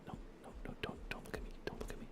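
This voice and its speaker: A man whispering soft, breathy ASMR commentary while livestreaming a video game, often muttering short repeated phrases. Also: racing.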